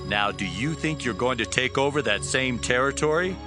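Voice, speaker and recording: A man's voice: mid-range voice, lively intonation, normal speed, close to an everyday voice. A man playing Jephthah, a bold outcast warrior who turned leader, in a dramatized Bible reading with music behind. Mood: defiant. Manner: forceful, challenging questions. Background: background music.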